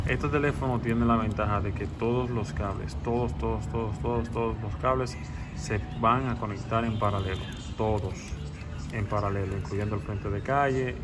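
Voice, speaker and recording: Male technician, lowish voice, plain instructional delivery with repeated words, clear background noise. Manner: explanatory, repeats words for emphasis. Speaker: security technician. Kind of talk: on-site installation tutorial.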